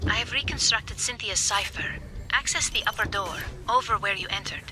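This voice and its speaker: A woman plays a synthetic science officer in a video game. She speaks at a middle pitch in measured, formal sentences, free of emotion. Loud music plays behind her. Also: natural voice. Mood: detached, precise. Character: calm, precise female android science officer. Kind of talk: video game mission dialogue.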